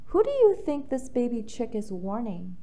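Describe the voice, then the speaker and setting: Animated woman reading to children, high voice. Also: normal speed. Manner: animated, clearly addressing children with questions. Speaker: children's story reader and host. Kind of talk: expressive read-aloud for young children.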